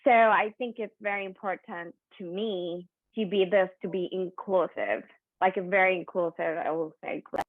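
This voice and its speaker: A woman's voice: fairly low pitch, steady tone, normal speed, dry recording. A woman whose first language is Spanish, talking earnestly in a group discussion and restarting her sentences. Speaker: meeting participant. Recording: tinny dry phone line.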